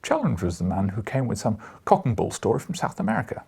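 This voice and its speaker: A dry, disdainful man of science, put on by a male audiobook reader, gossips scornfully. His voice is gravelly and animated, with emphatic turns. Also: fairly low pitch, fast talker, full character voice. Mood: scornful, amused. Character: thin, dry, leathery scientific journalist, disdainful.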